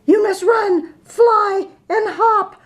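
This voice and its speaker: A woman voicing a big, timid male elephant who whimpers and squeaks when frightened. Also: wildly swooping pitch, slow pace, exaggerated voice. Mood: apologetic.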